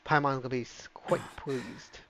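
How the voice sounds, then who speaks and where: A man doing casual gameplay commentary on a livestream, excitable and playful, blurting out reactions and sound effects. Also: mid-range voice, moderate pace. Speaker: game streamer.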